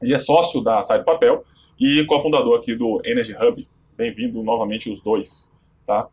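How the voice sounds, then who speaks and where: A man's voice: mid-range voice, very animated, moderate pace, vocal fry, a little mellow, breathy edge. A man hosting a podcast, introducing guests in a casual, conversational way. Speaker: podcast host.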